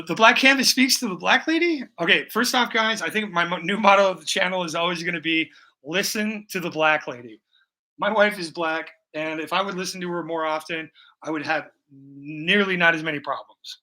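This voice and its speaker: Man, 40s, live-stream host: rambling, profane, joking, with a sharp, bright tone. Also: fairly high pitch, poor audio. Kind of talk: casual live-stream chat.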